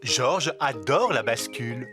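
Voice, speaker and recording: Un homme à l'français, narrateur amical d'un dessin animé pour enfants. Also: male voice, mid-range voice, expressive, slow. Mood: playful. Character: friendly storytelling male narrator of children's cartoon. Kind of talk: scripted narration for a children's cartoon. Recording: music behind the voice.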